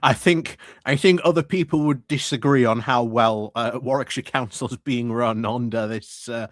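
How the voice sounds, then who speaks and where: A man's voice: mid-range voice, moderate pace, no echo. Sardonic man commentating, close and dry. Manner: sardonic, scornful, repeats phrases for emphasis. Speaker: streamer commentating on a council meeting. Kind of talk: casual live political commentary.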